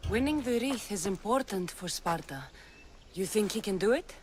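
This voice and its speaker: A woman's voice: medium pitch, animated, normal speed, darkish tone, a little hiss or hum, natural voice. An actress playing a blunt, determined Spartan mercenary woman in a video game cutscene. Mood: resolute, questioning.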